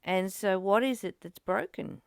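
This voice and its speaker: Earnest woman with a creaky, dry-sounding voice. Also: medium pitch, unhurried, dry recording. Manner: earnest, repeats phrases as she thinks aloud. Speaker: study group host reading and commenting. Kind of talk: reflective spiritual discussion, partly read aloud.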